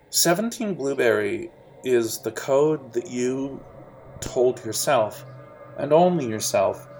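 A man narrating as game master of a tabletop role-playing game, describing scenes in a halting, lively way. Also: mid-range voice.

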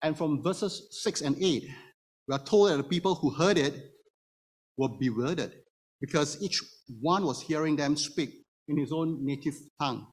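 In a church with long reverb, a man preaches in a slightly high voice. He is a native Hokkien speaker and explains scripture step by step, turning often to his listeners with rhetorical questions. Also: moderate pace. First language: Hokkien Chinese. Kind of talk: expository sermon to a congregation. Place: church room before a congregation. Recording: reverberant hall, long echo.

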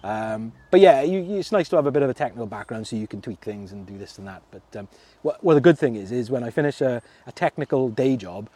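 Chatty Merthyr-accented man, mellow voice. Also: medium pitch, expressive, fast. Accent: South Wales (Merthyr). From Merthyr Tydfil, Wales. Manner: chatty, laughs often, many fillers and restarts. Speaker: fishing club secretary, guest. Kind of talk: casual interview chat.

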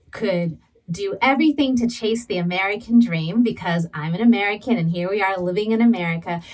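A woman from Southern California preaching a sermon and telling her own faith story in an earnest, deliberate way. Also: mid-range voice, distant mic, low-quality recording. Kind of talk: sermon with personal testimony.

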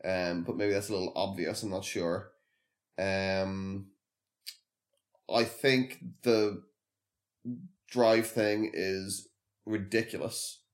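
A man co-hosting a podcast in casual chat, measured and a bit hesitant, with a dry tone. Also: sits low, flat delivery. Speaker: podcast co-host.

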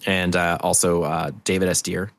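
A man's voice: deep voice, level tone, normal speed, creaky. A man talking as an interview guest, loose and enthusiastic, swearing casually as he chats. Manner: loose, enthusiastic, freely profane. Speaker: podcast guest, audio drama co-creator.

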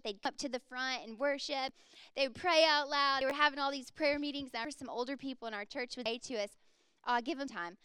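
A woman's voice: high voice, a bit bright, dry recording. A woman in her thirties preaching a sermon in an earnest, impassioned way. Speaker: speaker.

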